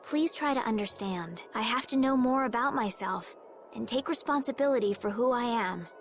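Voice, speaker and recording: An actress playing an earnest, polite and gently determined young woman in a video game. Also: a little high, lively intonation, moderate pace. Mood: pleading, resolute. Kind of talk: scripted video game voice acting. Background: game music.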